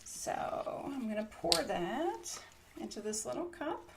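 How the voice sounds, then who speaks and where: A woman's voice: a little high, very animated, slow pace, creaky, heavy background noise. A woman doing an ASMR medical roleplay as a nurse, explaining each step in a casual, reassuring bedside way. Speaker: ASMR creator playing a trauma nurse.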